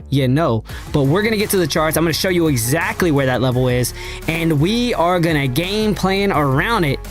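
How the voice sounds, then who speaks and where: A man hosting a casual crypto trading livestream, slangy and hyped, his voice raspy and hoarse from a cold. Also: a little high. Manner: slangy, hyped, addresses chat directly. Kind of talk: casual livestream market talk.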